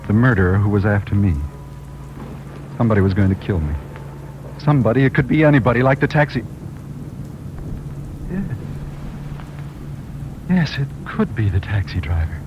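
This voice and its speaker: A man's voice: lowish voice, animated, normal speed, long pauses, close to an everyday voice. An actor playing a guilty, paranoid schemer in a vintage radio noir, brooding and hesitant as he confesses in tense, dramatic phrases. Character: guilty, paranoid male schemer haunted by murder plot. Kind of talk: 1940s-50s radio noir, first-person confessional narration. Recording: muffled old radio recording, rain noise.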